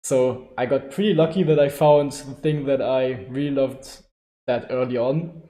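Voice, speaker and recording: A man's voice: steady tone, measured pace, mellow. A man in his twenties with a German accent, chatting loosely on a live Q&A stream, often restarting sentences and laughing. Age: 20s. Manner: rambling, self-correcting, laughing often. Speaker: livestream host. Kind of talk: casual live Q&A. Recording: echoey room with low-quality stream audio.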